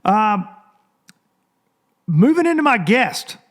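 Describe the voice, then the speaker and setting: A man hosting a casual podcast, hoarse and rambling, chatting loosely to his listeners into a microphone. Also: high-pitched, big pitch swings, slow pace. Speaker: podcast host. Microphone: studio microphone.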